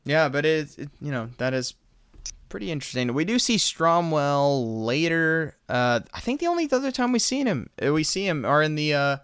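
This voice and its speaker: A man's voice: on the high side, expressive, moderate pace, fairly bright tone, clear, resonant tone, no echo. A man hosting a podcast in a casual, conversational review style. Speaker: podcast host.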